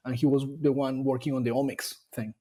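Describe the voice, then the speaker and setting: A man from Colombia talks through his path in a relaxed interview. His voice is warm and mellow, at a middle pitch. He pauses often and restarts his sentences as he thinks. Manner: thoughtful, with frequent fillers and restarts. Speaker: dairy nutrition scientist guest. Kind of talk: casual interview conversation.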